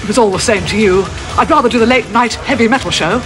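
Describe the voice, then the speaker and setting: A woman's voice: on the high side, fast talker, loud music behind the voice, close to an everyday voice. An older woman in a sitcom role, chatty and pleased with herself. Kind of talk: sitcom acting.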